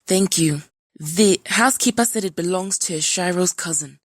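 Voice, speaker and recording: A woman's voice: lowish voice, moderate pace, no room sound, lightly acted. A woman playing a poised, formal and dryly spoken superheroine in an audio drama. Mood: composed, dry.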